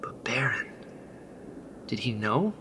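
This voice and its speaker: A man's voice: medium pitch, sing-song, takes it slowly, background noise. A man playing a weary, wounded rebel medic in a sci-fi radio drama, speaking in terse, questioning phrases. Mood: troubled.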